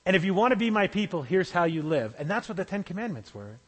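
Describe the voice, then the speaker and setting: High-voiced male pastor in a reverberant hall. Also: sing-song, fast talker, a bit bright, husky. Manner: conversational, with small restarts. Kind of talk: sermon.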